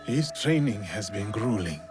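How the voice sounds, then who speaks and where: Solemn gentle warrior in a game cutscene, lowish voice, earnest, music playing behind him. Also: male voice, lively intonation, measured pace. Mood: respectful. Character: solemn, gentle, dutiful male warrior in feudal Japan. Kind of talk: restrained dramatic game cutscene acting.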